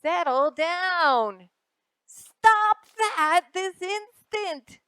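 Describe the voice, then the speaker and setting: A woman voicing an exasperated mother of a naughty little boy in a picture book, with emphatic, drawn-out words. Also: very high-pitched, lively intonation, bright, forceful tone, no echo, clean studio sound, voice pushed up, heavily acted. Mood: scolding.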